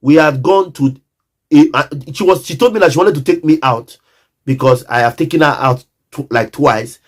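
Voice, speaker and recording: A man's voice: a little high, normal speed. A Nigerian man telling a personal story in a casual monologue, emphatic and repetitive, often speaking straight to the listener.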